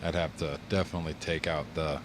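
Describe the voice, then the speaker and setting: Deep-voiced laid-back male streamer. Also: flat delivery, quick pace, background noise. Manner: laid-back, rambling talk to viewers. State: congested chest from a recent illness. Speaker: game streamer. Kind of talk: casual livestream chat. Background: fan blowing near the mic.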